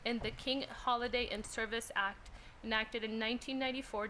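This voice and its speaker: A woman's voice: fairly high pitch, bright, forceful tone, a little hiss or hum, low-quality recording. An American woman, a council member, formally reading a proclamation aloud at a council meeting. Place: city council meeting room.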